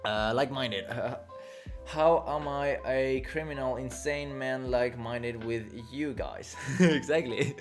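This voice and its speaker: A young man chats loosely on a livestream, answering viewers in a mid-pitched voice. He rambles, restarts and laughs often, dropping swear words casually. Faint music plays underneath. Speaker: livestream host reading chat. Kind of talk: casual chat.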